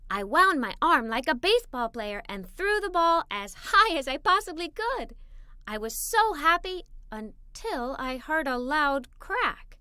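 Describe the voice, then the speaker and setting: A woman narrating a children's story in an expressive, dramatic read-aloud style. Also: sits high, a little slow, no room sound, clean studio sound.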